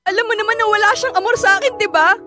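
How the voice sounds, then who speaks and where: A woman's voice: very high-pitched, fast talker, over music. A woman playing a distraught, resentful teenage daughter in a radio drama, pleading and emotional. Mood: hurt, resentful.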